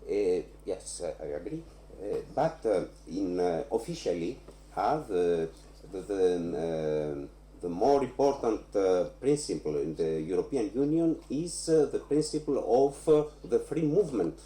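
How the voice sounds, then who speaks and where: A man in an echoing room speaks non-native English on an academic panel. He goes slowly, almost word by word, with pitch that moves up and down and a mellow tone. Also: expressive, slight background noise. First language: not English. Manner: very halting, word by word. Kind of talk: academic round-table discussion.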